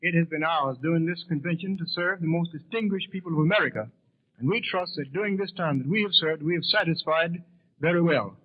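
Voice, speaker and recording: A man's voice: a little high, fairly quick. A man, a shoe shiner, giving stiff, formal radio interview answers that sound rehearsed. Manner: formal, recited. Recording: tinny narrowband 1930s radio broadcast.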